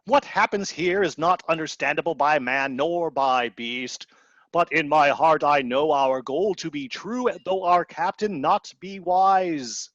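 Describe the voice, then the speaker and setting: A man acts out an anguished, grandiose castaway in an absurdist radio play. The voice is fairly high for a male, unhurried and declamatory. It comes over a dull, dry video-call headset. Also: fairly bright tone, dry recording. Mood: lofty. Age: middle-aged. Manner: theatrical, declamatory. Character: grandiose, anguished stranded man given to doom-laden lament.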